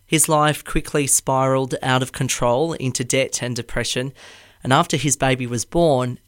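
A man hosting a podcast, reading a scripted intro and then asking gentle, supportive questions. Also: medium pitch, no echo, studio quality. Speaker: podcast host.

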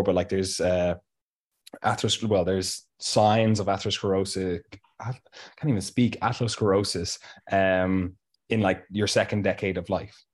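A man's voice: sits low, a little mellow. A man co-hosting a podcast, talking casually with a blocked nose that makes him sound nasal. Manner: chatty, restarts, frequent "you know" and "like". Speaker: podcast co-host.

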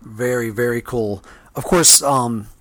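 A man with a medium-pitched voice, recorded dry and close. He speaks as a podcast host in a casual interview, chatty and affirming as he puts questions and comments to a guest. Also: takes it slowly, a bit bright, no room sound.

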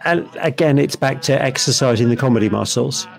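A man's voice: mid-range voice, brisk, gravelly, soft background music. A man from England co-hosting a podcast, chatting in an easygoing way as an episode plays. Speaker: podcast co-host. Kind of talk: casual commentary while watching.

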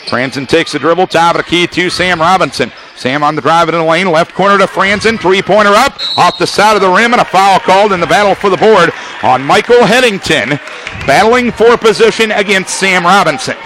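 A man calling basketball play-by-play for radio, his voice high and bright as he tracks each pass, the score and the clock. Faint arena noise sits behind him. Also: brisk, poor audio. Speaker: play-by-play announcer.

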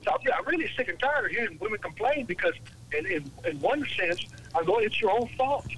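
An American man in his late sixties with a rather high voice calls in to a radio show. He speaks haltingly and repeats himself, sounding exasperated as he chats about politics. The phone line is clearly noisy. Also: expressive, fairly bright tone. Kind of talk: casual political phone-in chat. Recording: noisy telephone call to radio.

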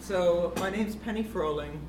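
A deep-voiced American woman in her fifties speaks in a self-deprecating, chatty way, with a fairly monotone delivery. She is heard from a distance in an echoey hall, with steady room noise underneath. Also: unhurried. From United States. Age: 50s. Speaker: panel speaker. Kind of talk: public talk at a live panel. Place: event hall with live audience.

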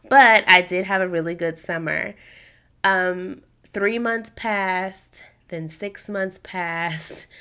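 Slightly raspy, chatty woman podcast host. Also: lowish voice, a bit bright. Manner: chatty, upbeat, informal slang. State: raspy after allergies. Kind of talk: casual solo podcast talk.

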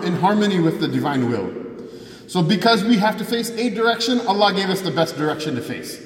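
A man delivering a Friday sermon who asks rhetorical questions and quotes scripture in Arabic. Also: sits high. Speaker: preacher giving a Friday sermon. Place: mosque prayer hall. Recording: echoey hall, voice from far off.

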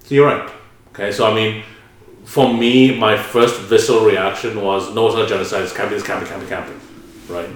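A man's voice: lowish voice, fairly even intonation, brisk, a little hiss or hum. Candid male podcast host, echoey room. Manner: candid, self-questioning. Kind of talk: podcast conversation.

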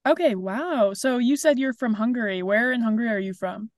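A woman's voice: fairly high pitch, brisk, no echo, studio quality. A young woman hosting an interview, asking questions and giving encouraging replies like "wow" and "very nice.". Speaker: podcast host.

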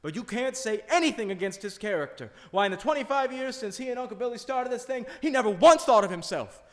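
Male actor as earnest young small-town idealist, high voice, plain-spoken fervour, reverberant stage radio play. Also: expressive, quick pace. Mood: defiant. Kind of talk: live radio play acting. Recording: echoey hall, live stage performance.

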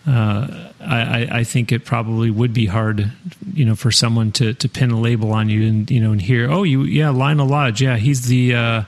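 A male host with a gravelly, creaky edge to a mid-pitched voice. He winds his way through long questions, restarting often, and chimes in with quick reactions while his guest speaks. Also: level tone. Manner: rambling questions, frequent restarts, interjects "wow". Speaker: podcast host. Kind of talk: casual interview chat.

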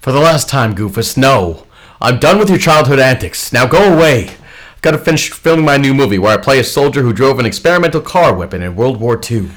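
A man's voice: medium pitch, lively intonation, brisk, natural voice. A man acting an exasperated grown movie star in a comedy sketch. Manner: exasperated, dismissive.

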